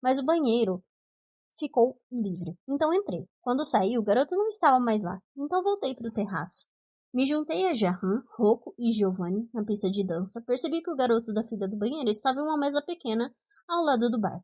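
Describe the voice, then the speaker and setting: A Brazilian woman with a somewhat high-pitched voice reads a novel aloud. She narrates in the first person from a script, in an expressive storytelling way suited to an audiobook. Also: brisk, no echo. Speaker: audiobook reader.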